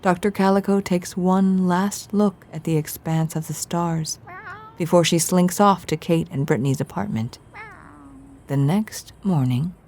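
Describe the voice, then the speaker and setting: A woman's voice: on the low side, a little mellow. A woman narrator giving scripted, dramatic storytelling narration for an audio drama.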